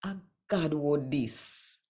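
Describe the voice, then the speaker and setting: A woman with a Jamaican accent, hosting and reading scripture aloud in a deliberate, dramatic storytelling way. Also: deep voice, warm tone. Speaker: channel host and Bible reader. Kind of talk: expressive scripture reading and direct address to viewers.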